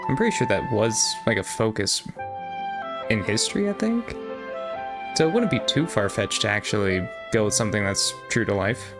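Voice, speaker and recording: A man's voice: lowish voice, lively intonation, moderate pace. A man doing casual live stream commentary on a game, talking in a hesitant, rambling way. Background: game music.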